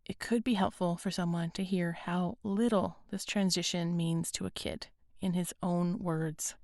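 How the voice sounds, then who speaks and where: A woman hosting a podcast, speaking earnestly and gently with a raspy, hoarse voice. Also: on the low side, fairly even intonation, measured pace, dry recording, clean studio sound. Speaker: podcast host. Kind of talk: scripted podcast intro and interview.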